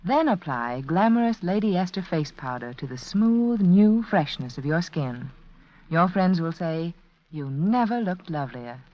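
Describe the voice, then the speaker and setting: A woman reading a 1940s radio beauty advertisement in a measured, persuasive way. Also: lowish voice, big pitch swings, slight background noise. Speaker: beauty product spokeswoman. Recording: dull vintage 1940s radio broadcast.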